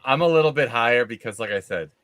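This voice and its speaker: A man's voice: mid-range voice, animated, fast talker, a bit bright, no echo. A man hosting a casual live movie discussion, talking in an informal, rambling, conversational way. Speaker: show host.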